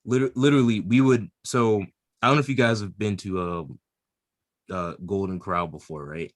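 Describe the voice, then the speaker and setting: A man co-hosting a casual podcast, lively and loaded with fillers. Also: on the low side, fairly quick, dry recording, studio quality. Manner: lively, hesitant with many fillers. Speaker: podcast co-host. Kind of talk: casual chat.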